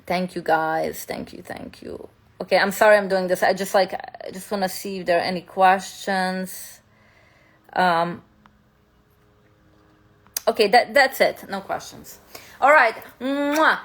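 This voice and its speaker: A woman's voice: on the high side, a bit bright. A woman from Serbia, a spiritual teacher and content creator, talking loosely in a live stream with many fillers and restarts. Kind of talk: casual live-stream talk. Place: home in New York City.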